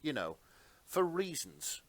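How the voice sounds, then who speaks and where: A man's voice: fairly high pitch, sing-song, takes it slowly. Man narrating a story theatrically.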